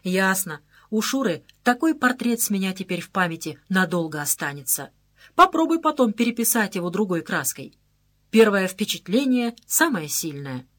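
A woman reading an audiobook aloud in a lively, conversational first-person storytelling manner. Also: medium pitch, normal speed. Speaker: audiobook narrator. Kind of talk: scripted first-person narration.

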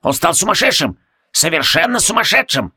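A man voicing a frantic, cranky old mad alchemist in a fantasy audiobook, husky and barking, repeating himself excitedly. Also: a little high, moderate pace, voice pushed up, heavily acted. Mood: agitated.

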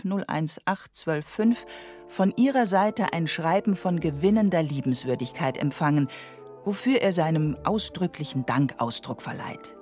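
A gangster's sweetheart with a slightly low voice, haughty and miffed. She delivers a satirical letter in pompous, mock-courteous phrases sprinkled with English. Faint music plays behind her. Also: a little mellow. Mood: mock formal. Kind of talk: dramatic reading of a satirical letter.

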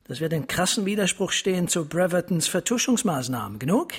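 A grave resigned police captain in a radio play, husky, kinda higher voice, formal and deliberate. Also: male voice, sing-song, full character voice. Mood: grave, insistent. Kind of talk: radio drama acting.

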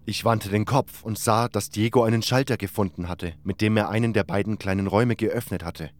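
A man's voice: lowish voice, a little fast. A man reading scripted first-person narration for a fantasy audio drama. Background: background music.

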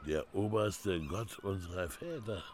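A man's voice: deep voice, unhurried, darkish tone. A man in a German radio play acting an old village seer, ominous and insistent, repeating himself. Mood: ominous, prophetic. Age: older. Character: brooding old village seer with second sight. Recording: outdoor-sounding scene, honking geese, clear noise.

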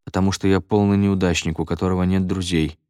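A man's voice: low voice, big pitch swings, a little slow, dry recording, studio quality. A man voicing a shy schoolboy who gets picked on, with hesitant delivery, in an audiobook. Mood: mumbled, resigned. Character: shy schoolboy, picked on, newly talking again.

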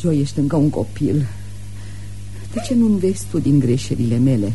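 Low, mellow-voiced, sharp-tongued mother. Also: sing-song, a little hiss or hum, poor audio. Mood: reproachful, pleading. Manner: scolding, theatrical, swings from biting to offhand. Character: hard-drinking, sharp-tongued mother, domineering and unsentimental. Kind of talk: Romanian radio theatre acting.

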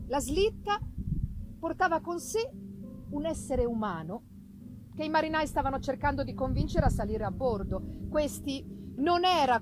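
A woman giving a theatrical, deliberately phrased dramatic reading of a gothic novel, with pauses for effect. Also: sits high, noisy background. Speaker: reader of a literary work.

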